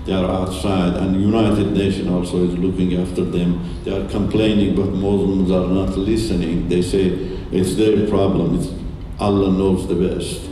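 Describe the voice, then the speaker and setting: Man, native Turkish speaker, middle-aged, earnest halting church testimony, handheld mic, echoey hall, faint hiss. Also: sits low, level tone, warm tone, recorded from a distance. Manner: earnest, halting, with many restarts. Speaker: guest speaker. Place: large dark church hall with audience.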